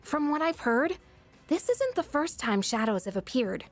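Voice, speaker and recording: A woman voicing a frank, inquisitive teenage schoolgirl in a video game, talking in a casual, expressive way. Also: high voice, a little slow, faint music behind. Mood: suspicious, insistent. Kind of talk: English video game voice acting.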